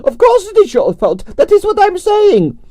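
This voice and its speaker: An imperious old ballet mistress in falsetto. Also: male voice, wildly swooping pitch, normal speed, mellow, no echo, clean studio sound, exaggerated voice. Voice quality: high, fluttering falsetto with French-sprinkled broken English. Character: shriveled arrogant elderly ex-ballerina, imperious Russian. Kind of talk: audiobook reading.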